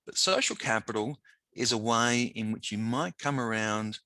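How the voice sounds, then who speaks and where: A man presenting a webinar as a teacher of the deaf, slightly hoarse and talking informally with frequent pauses and fillers. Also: medium pitch, bright, forceful tone, no echo. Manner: informal, conversational, with frequent ums and restarts.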